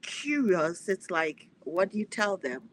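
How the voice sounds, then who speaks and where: A woman's voice: lowish voice, very animated, unhurried, no echo, low-quality recording. A woman from Ghana hosting a podcast interview, reflective and affirming, often answering with "yes". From West Africa, Ghana. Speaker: podcast host.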